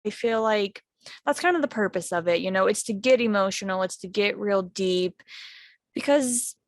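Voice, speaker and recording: A woman's voice: mid-range voice, normal speed, no room sound. Bubbly young woman podcast host. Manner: upbeat, bubbly, encouraging. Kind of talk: casual podcast chat.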